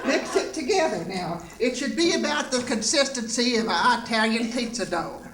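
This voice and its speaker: A folksy grandmother played by a stage performer rambles through a homespun cooking pitch, pausing and drifting as she goes. The voice sits at a middling pitch, somewhat far from the mic, in an echoey theatre with loud noise. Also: lively intonation, lightly acted. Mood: rambling, folksy. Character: folksy old grandma pitching sponsor baking products. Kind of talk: old-time variety show sketch, homespun cooking demonstration.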